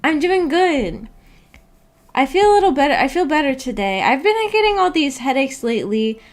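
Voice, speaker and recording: A woman's voice: high-pitched, very animated, normal speed. A woman hosting a livestream, chatting casually with viewers in an informal, hesitant, giggly way. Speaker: livestream host.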